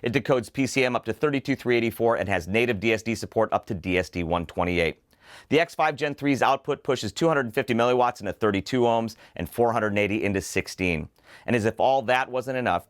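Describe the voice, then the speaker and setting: A man's voice: mid-range voice, dry recording, clean studio sound. A man hosting a product review show, presenting scripted material to camera in an articulate, enthusiastic way. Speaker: host of a headphone review show.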